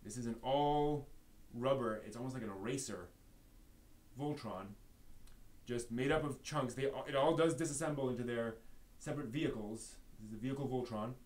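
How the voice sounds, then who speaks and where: A man's voice: medium pitch, moderate pace. A man hosting a live stream, chatting casually and off the cuff in a rambling way that trails off. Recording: noisy room background.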